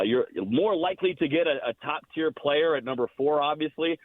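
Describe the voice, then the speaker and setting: An American man, a hockey play-by-play announcer, giving measured, emphatic explanations as a guest analyst in a sports interview. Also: medium pitch, dry recording. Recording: dull, phone-like lo-fi guest line.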